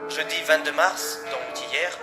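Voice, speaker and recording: Anxious niece on the phone played by a man; hesitant, polite, slightly high. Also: a little slow, music in the background, lightly acted. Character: worried young woman searching for her uncle.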